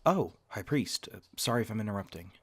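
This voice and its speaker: A man's voice: lowish voice, expressive, fast talker, breathy edge. A man playing a polite, deferential faithkeeper bringing word in a fantasy audio drama, courteous and apologetic in manner. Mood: apologetic, respectful.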